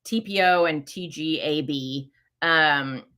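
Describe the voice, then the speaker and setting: A woman's voice: low-pitched, takes it slowly, pushed and bright, clear, resonant tone. A middle-aged woman hosting a live question-and-answer session, talking casually with many fillers and self-corrections. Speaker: host answering listener questions.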